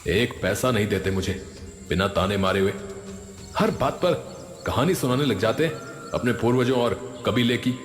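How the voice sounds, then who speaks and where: A man's voice: lowish voice, lively intonation, moderate pace, echoey room, long reverb tail, faint music behind, natural voice. A man voicing an irritable, spoiled son in his twenties, whiny and dismissive in a moral story. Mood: resentful. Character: irritable spoiled 24-year-old son, resentful. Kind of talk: animated moral story dialogue.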